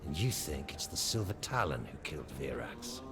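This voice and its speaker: An actor playing a gruff, distrustful male bounty hunter in a game cutscene, terse, blunt and hard-boiled. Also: extremely low pitch, big pitch swings, normal speed, music in the background, heavily acted. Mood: suspicious. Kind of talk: video game cutscene acting.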